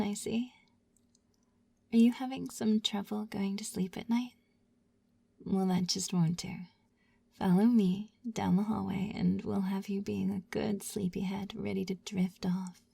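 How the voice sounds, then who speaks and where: A woman whispering a slow, soothing guided sleep hypnosis roleplay in a repetitive, lulling manner, close to the mic. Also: medium pitch, fairly even intonation, gravelly, dark tone, breathy edge. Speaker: hypnosis ASMR narrator.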